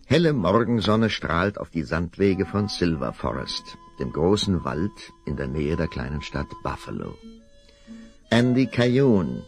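An older man narrating a children's radio play in a measured storytelling manner. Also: low voice, very animated, creaky, soft background music. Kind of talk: scripted narration.

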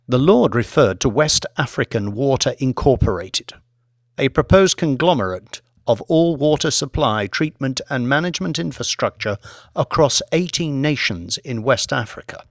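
Male audiobook narrator: dramatic, deliberate phrasing, animated mid-range pitch, dry studio sound. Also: no room sound.

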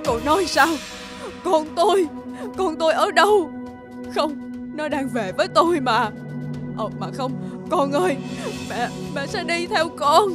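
Grieving old mother, high-pitched. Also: expressive. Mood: distraught, desperate. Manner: plaintive, halting, repeating herself. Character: grieving, confused elderly peasant mother searching for her son. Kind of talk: animated folk tale voice acting. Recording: music behind the voice.

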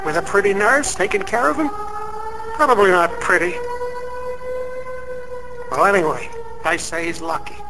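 A high-voiced, daydreaming night clerk. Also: male voice, expressive, moderate pace, pauses often, over music, poor audio. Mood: wistful, musing. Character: weary night clerk lost in morbid daydreams. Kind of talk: radio-play inner monologue. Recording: loud sound effects, sirens behind.

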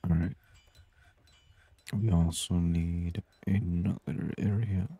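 A man doing soft-spoken ASMR game commentary, murmuring and unhurried as he reads aloud. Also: deep voice, fairly even intonation, breathy edge, dry recording. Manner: murmured, unhurried, reading on-screen text aloud. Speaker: gaming channel host.

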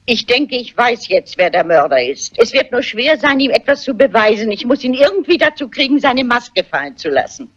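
An actress playing a shrewd, unflappable elderly lady amateur sleuth in a crime film, polite and matter-of-fact, with a husky voice. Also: fairly high pitch, very animated, moderate pace, few pauses. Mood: knowing. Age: older. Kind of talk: German dubbed crime film dialogue. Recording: dull, muffled old film soundtrack.

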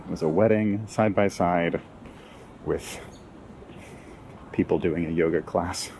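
A man giving a casual walking tour outdoors in a city park, with relaxed, wry asides to viewers. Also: low voice, slow, slight background noise. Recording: faint outdoor ambience.

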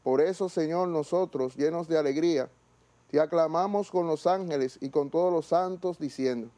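A priest in his thirties recites the mass prayers in a measured, solemn cadence. His voice is mellow and on the higher side for a man. It is picked up closely on a lapel mic in a small chapel. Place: small chapel studio, indoors.

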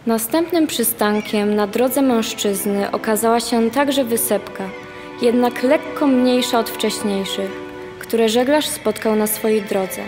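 A woman's voice: a little high, a little slow. A young woman reading scripted narration as the narrator of a radio play. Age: young adult. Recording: long reverb, music behind. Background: music.

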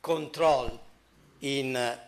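A middle-aged man with an Italian accent giving an academic conference talk in a hall, halting and full of fillers. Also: medium pitch, steady tone. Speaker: conference speaker.

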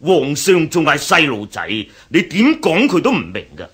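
An actor with a Hong Kong Cantonese accent playing a domineering, scheming grand general in a radio drama, husky and falsely courteous. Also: male voice, on the high side, huge pitch swings, moderate pace, a bit bright, full character voice. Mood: impatient, domineering. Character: overbearing, scheming male grand general, cold poisoner.

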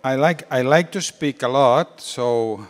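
A man's voice: mid-range voice, a little slow. A middle-aged man giving an informal seminar lecture in English on a handheld mic, often repeating words as he searches for them. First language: Catalan. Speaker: guest lecturer. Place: large university lecture hall, indoors. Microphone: handheld microphone. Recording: reverberant lecture hall.

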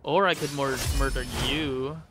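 A man's voice: medium pitch, steady tone, a little slow. A man giving casual let's play commentary, muttering to himself and repeating words as he plays. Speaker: gaming video creator. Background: game music.

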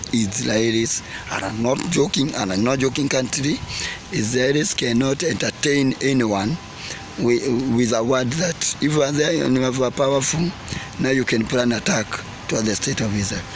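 A man in his forties giving opinionated news commentary to camera, halting and emphatic, repeating his phrases, recorded outdoors at arm's length. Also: medium pitch. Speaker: vlogger. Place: outdoors by parked cars and trees. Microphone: phone or camera at arm's length. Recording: noisy outdoor recording with traffic ambience. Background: outdoor ambience and vehicles.

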